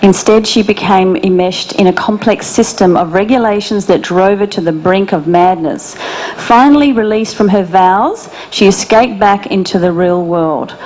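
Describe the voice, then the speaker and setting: Woman interview host, upbeat and chatty, mid-pitched. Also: moderate pace, darkish tone, low-quality recording. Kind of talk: reading an introduction, then chatting.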